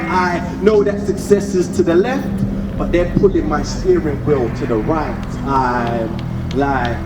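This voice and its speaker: High-pitched man performing spoken word, echoey. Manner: rhythmic, expressive, conversational delivery. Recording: echoey, distant, loud music behind.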